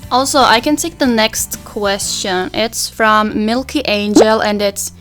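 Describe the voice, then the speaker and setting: A woman's voice: on the high side, a little slow, fairly bright tone, soft background music. A young woman from Finland, a native Swedish speaker, chatting casually through a makeup tutorial while answering viewer questions in a small bedroom. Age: young adult. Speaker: beauty vlogger. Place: small pastel bedroom, indoors.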